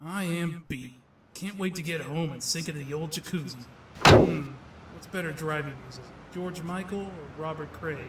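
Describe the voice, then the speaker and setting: Man playing a high-voiced slick government lawyer. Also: normal speed, noisy background, close to an everyday voice. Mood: relaxed. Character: slick, self-serving male assistant district attorney. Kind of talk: comic-book audio drama acting. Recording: music under the voice.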